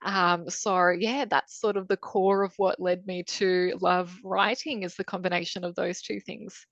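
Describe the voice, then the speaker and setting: A woman's voice: on the low side, level tone, a little slow, fairly bright tone, dry recording, highs cut off. A woman author, talking in a casual interview, thoughtful and chatty with many ums and restarts. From Croatia. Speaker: author guest.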